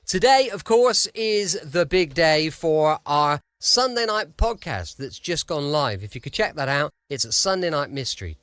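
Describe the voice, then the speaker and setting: A man's voice: fairly high pitch, big pitch swings, a little slow, hardly any pauses, fairly bright tone, dry recording. A man with an English accent chattily introducing a podcast as its host. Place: dry close-recorded room at home.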